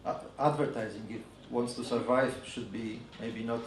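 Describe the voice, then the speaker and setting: A man's voice: medium pitch, fairly even intonation, slight background noise. Hesitant Polish man in an echoey hall. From Poland. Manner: hesitant, halting, frequent fillers and restarts. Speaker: advertising agency speaker. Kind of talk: conference talk. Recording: echoey room from a distance.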